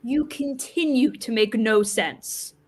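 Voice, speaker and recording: High-pitched female Death, played by an actress, indignant, commanding, exasperated, staged reading. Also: takes it slowly, a little mellow. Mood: dismissive. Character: Death personified, exasperated, humiliated, cursing bureaucrat.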